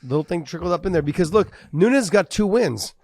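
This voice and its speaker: A man co-hosting a casual MMA podcast, talking in an animated way and repeating words as he builds his points. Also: high voice, fairly quick, breathy edge, dry recording. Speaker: podcast co-host.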